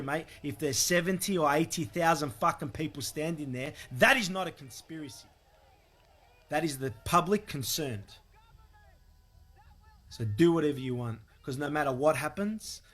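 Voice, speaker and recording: A man's voice: on the high side, fairly bright tone. An Australian man delivering an angry, emphatic political rant with heavy swearing. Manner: vehement, emphatic, profane.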